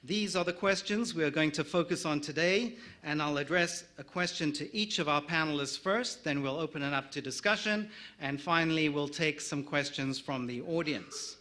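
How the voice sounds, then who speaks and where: A man's voice: a little high, normal speed, few pauses, bright, forceful tone. South African man moderating a panel. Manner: reads introductions with small slips and light jokes.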